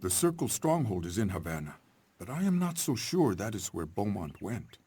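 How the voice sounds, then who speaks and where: Low-voiced, grave old mentor. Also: male voice, sing-song, moderate pace, dark tone. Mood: solemn. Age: older. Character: grave, wise old great-uncle, seasoned frontier hero. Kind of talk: video game cutscene acting.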